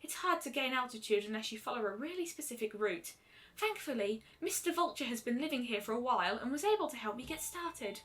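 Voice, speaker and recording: A woman acts out a gentle little pegasus mare in a story reading. The voice is high, quiet and soft. The manner is shy but helpful. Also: lively intonation, brisk. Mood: mild, explaining. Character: shy, gentle young female pegasus pony.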